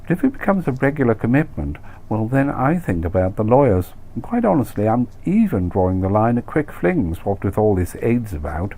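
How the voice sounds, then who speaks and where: Man voicing a gossipy expat wife, lifted pitch. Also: big pitch swings, brisk, dark tone. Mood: blasé, advising. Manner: gossipy, cliché-laden, condescending advice-giving. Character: Jill, chatty, nosy middle-aged expat wife, worldly and blasé. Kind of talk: acted dialogue in comic short story reading.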